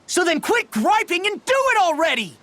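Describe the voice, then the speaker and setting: A brash, loyal young man from a fantasy game cutscene. His voice sits high and swings widely in pitch, blunt and cocky, with some music behind it. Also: very animated, normal speed, sharp tone, exaggerated voice. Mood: frustrated, challenging. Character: brash, hot-headed, loyal young male fighter. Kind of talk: dubbed anime-style game voice acting. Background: background music.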